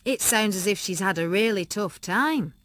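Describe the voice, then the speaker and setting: A woman voicing a kind, easygoing middle-aged father who speaks gently and reasonably. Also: medium pitch, animated, normal speed, no echo, lightly acted. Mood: reasonable.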